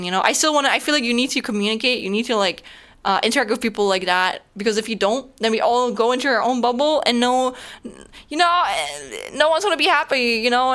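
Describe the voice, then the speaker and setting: A young woman with a German accent chats loosely with her stream audience. She rambles, leans on fillers and restarts often. Her voice is bright and crisp and sits a little high. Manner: rambling, filler-heavy, frequent laughter. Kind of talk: casual chat with viewers.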